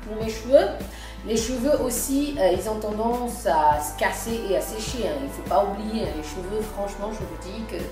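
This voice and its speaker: A woman's voice: medium pitch, lively intonation, normal speed. A woman from Congo chatting casually as a beauty vlogger, hesitant and full of fillers and restarts. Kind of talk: casual beauty vlog talk. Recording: echoey room with music behind. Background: background music.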